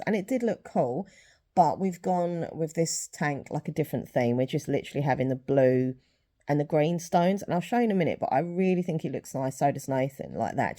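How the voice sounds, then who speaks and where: A woman's voice: deep voice, warm tone. A young woman chatting casually in a rambling vlog, a little sniffly with a sore throat. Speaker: vlogger.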